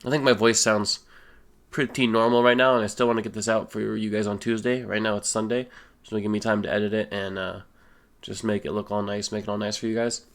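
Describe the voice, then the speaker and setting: Young man, stuffy, lowish voice. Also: fairly quick. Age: young adult. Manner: relaxed, rambling, many fillers. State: stuffy nose, a little sick. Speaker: gaming YouTuber. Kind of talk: casual Q and A voice-over.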